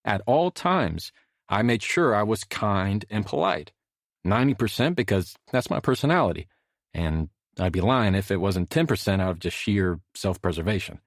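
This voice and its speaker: Low-voiced man narrating an audiobook. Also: animated, moderate pace, no room sound, clean studio sound. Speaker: author narrating own audiobook. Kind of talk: scripted audiobook narration, storytelling.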